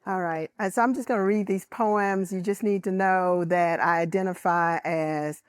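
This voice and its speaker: A woman's voice: lowish voice, fairly even intonation, normal speed, clear, resonant tone, dry recording. A woman poet laureate casually greeting the audience before reading her poems.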